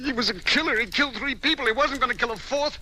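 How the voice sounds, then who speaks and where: An actor playing a nervous, soft-hearted, hesitant man caught up in crime in an old-time radio drama. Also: very high-pitched, fast, exaggerated voice. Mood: remorseful. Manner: hesitant, pleading, stammering. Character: nervous, reluctant hotel man, soft-hearted brother of a thug. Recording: noisy vintage radio recording.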